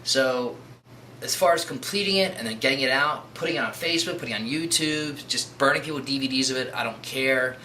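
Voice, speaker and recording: A man's voice: mid-range voice, brisk, bright, forceful tone, poor audio. A man speaking as a comic book writer in a documentary interview, with a dry, wry delivery. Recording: faint background noise.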